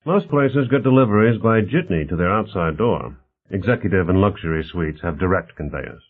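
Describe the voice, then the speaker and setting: A man's voice: deep voice, animated, moderate pace. A man voicing a genial, confident male executive in an audiobook, expansive, assured and hospitable. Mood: animated, teasing. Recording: narrow phone-like band.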